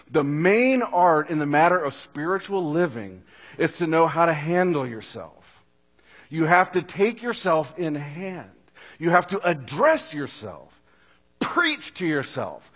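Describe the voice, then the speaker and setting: Slow, husky preacher man in a hall. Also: a little high. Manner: earnest, swings from loud emphasis to near-hushed. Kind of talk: sermon. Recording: long hall reverb.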